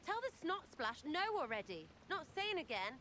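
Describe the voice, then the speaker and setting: Bright, high-pitched, brash British rogue. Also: female voice, big pitch swings, normal speed, slight background noise, heavily acted. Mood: angry, scornful. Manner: blunt, slangy, sneering. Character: brash, foul-mouthed young female rogue, scrappy and irreverent. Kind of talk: video game voice acting.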